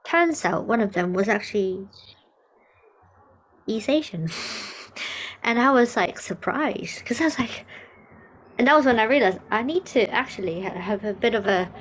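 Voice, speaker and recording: Animated young woman from Hong Kong. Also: medium pitch, normal speed, long pauses, slightly rough, rough recording. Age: young adult. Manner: animated, laughs often, acts out quoted remarks. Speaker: music therapist. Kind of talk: conversational discussion.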